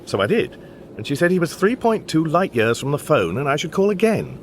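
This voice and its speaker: A man's voice: fairly high pitch, very animated, brisk, slight background noise. An actor playing a mild, baffled, apologetic Englishman with an English accent in a radio comedy drama, speaking hesitantly with dry understatement. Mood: bemused, rueful. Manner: hesitant, bemused, dryly understated.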